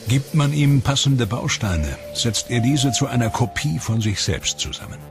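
A man narrating a documentary from a script in a measured way, slowing down and dropping his voice on the ominous lines. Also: mid-range voice, lively intonation. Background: documentary music score.

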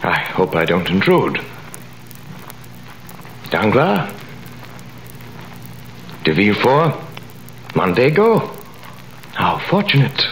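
An actor in a 1938 radio drama playing a calm, composed avenging nobleman who speaks in measured tones, on an old broadcast recording. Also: lowish voice, reverberant, background noise, heavily acted. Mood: suave. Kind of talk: 1930s radio acting.

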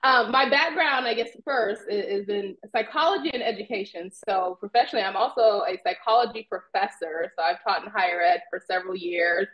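A woman's voice: medium pitch, a little fast, few pauses. A woman in her forties from Indiana, a psychology professor, giving a fluent, organized account of her work in an interview over a video call. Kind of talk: interview answer. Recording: muffled video call audio.

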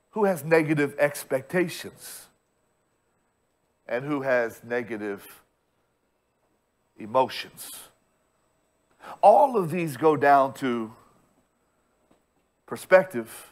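A man in his thirties preaching a sermon as pastor from a church stage, repeating his points with emphasis and frequent restarts. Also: medium pitch. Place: church stage with bright screen backdrop.

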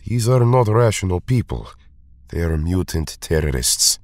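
A cautious, level-headed Russian superhero, acted by a man for an audio drama. His fairly low voice moves widely in pitch and is recorded dry. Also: very animated, moderate pace, a little mellow, no echo. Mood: cautious, stern.

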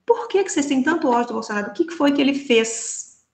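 A woman's voice: sits high, animated, quick pace, reverberant. A woman in her thirties with a Minas Gerais Brazilian accent, giving indignant opinion commentary as a panelist over a video call from a small room. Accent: Brazilian Portuguese, Minas Gerais. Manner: indignant, rhetorical questions, many fillers like "então, assim". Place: small indoor room with a plain wall. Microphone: laptop webcam mic on a video call.

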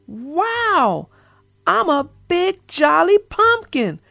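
A woman voicing a cheerful, excitable young girl hippo, an eager and encouraging big sister in a storybook. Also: extremely high pitch, drawn-out words, vocal fry, dry recording, clean studio sound, pitched above the performer's own voice, heavily acted. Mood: excited.

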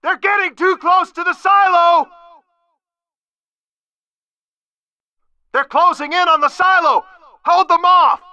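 Sharp, high-voiced male base announcer. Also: fairly even intonation, normal speed, no room sound, highs cut off, full character voice. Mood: alarmed. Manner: urgent, clipped, emphatic. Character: alert male base announcer calling out battle warnings. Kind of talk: video game announcements.

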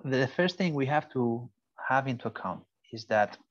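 A man's voice: mid-range voice, lively intonation, normal speed. A man from Spain with a strong Spanish accent, giving an earnest online lecture and sometimes searching for the right English word. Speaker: invited speaker. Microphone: video call. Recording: muffled, dry call audio.

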